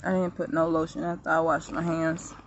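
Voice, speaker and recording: A woman in her late thirties chatting casually to viewers in a vlog, laughing often, recorded outdoors on her phone in a quiet park. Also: low-pitched, level tone, a little fast, highs cut off, slight background noise. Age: 30s. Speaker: vlogger.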